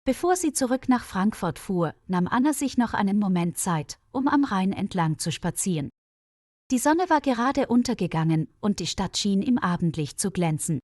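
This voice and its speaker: A woman's voice: mid-range voice, lively intonation, moderate pace, darkish tone, dry recording, studio quality. A woman reading a scripted story for language learners as its narrator. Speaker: narrator of stories for German learners.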